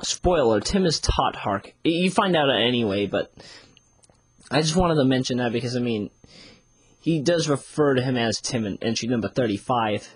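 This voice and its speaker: A casual reviewer with a husky voice and very animated pitch. The delivery rambles and circles back on its own phrases. The sound is dry, with little room in it. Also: moderate pace, no room sound. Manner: rambling, often repeats phrases to restart. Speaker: series reviewer. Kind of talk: casual spoken audio review.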